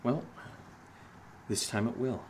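An actor playing a sardonic, shaken man who jokes through fear, speaking haltingly in a naturalistic horror audio drama. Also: mid-range voice, steady tone, a little mellow, a little hiss or hum, lightly acted. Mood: earnest, determined. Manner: hesitant, halting, sarcastic.

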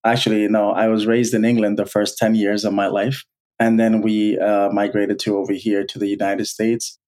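A man in his thirties from Bangladesh, a guest telling his life story in an earnest, halting way with many restarts. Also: fairly low pitch, flat delivery, a little mellow. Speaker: guest, college alumnus. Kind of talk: personal storytelling interview.

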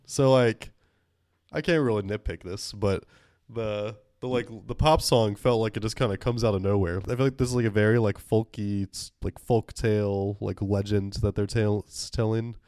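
A man's voice: fairly low pitch, steady tone, normal speed, darkish tone, no echo, studio quality. A man in his twenties co-hosting a podcast, joking in a mock-serious way with many fillers. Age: 20s. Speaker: podcast co-host. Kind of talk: casual film review chat.

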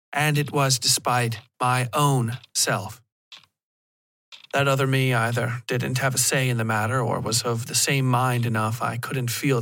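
Man narrating dark fiction, medium pitch. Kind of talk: scripted first-person horror story narration.

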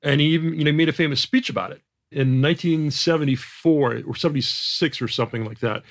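A man with a general American accent, a guest on a podcast, explains his ideas with emphasis and frequent repeated words. His voice sits a bit high for a man and has a gravelly, creaky texture. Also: fast. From Jamaica. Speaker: economist guest.

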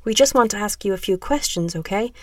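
Woman playing a calm, burly male leader. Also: fairly low pitch, normal speed, no room sound, studio quality, far from the mic, natural voice. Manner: calm, reassuring, earnest. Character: big burly man, calm, reassuring protective leader.

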